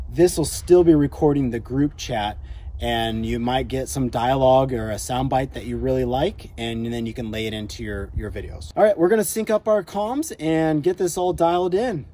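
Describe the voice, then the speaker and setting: A man's voice: medium pitch, moderate pace, warm tone. A man in his thirties giving a casual how-to talk to camera outdoors. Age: 30s. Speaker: motorcycle vlogger explaining gear. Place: outdoors, driveway and forest trailside.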